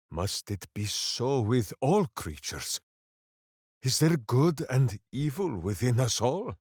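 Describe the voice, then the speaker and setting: An actor playing a big-hearted, dim-witted heroic ranger in a video game, bombastic and earnest, speaking of himself in the third person. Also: male voice, mid-range voice, fairly bright tone, no room sound, studio quality, full character voice. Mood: earnest, pondering. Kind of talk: video game character voice lines.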